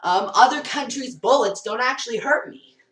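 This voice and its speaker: A man's voice: very high-pitched, moderate pace, sharp tone. A teenage boy vlogger rambling through a comedic parody story and acting out scenes while he moves around a small basement room. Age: teens. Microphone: built-in webcam mic across the room. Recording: roomy and distant.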